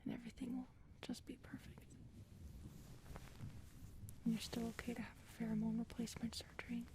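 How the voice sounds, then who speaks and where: Woman voicing a soft, high-voiced alien girlfriend. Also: level tone, a little fast, natural voice. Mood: tender, sleepy. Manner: soft, breathy, intimate, often dropping into whispers. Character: affectionate, playful adult female alien lover, tall otherworldly species. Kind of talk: ASMR audio roleplay.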